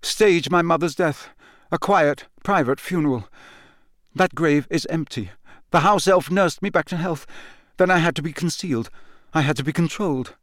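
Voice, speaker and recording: A man's voice: on the high side, moderate pace, fairly bright tone, dry recording, clean studio sound, pitched above the performer's own voice, close to an everyday voice. A man playing a dazed, coldly bitter young male prisoner who confesses in clipped, expressionless sentences. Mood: detached confession.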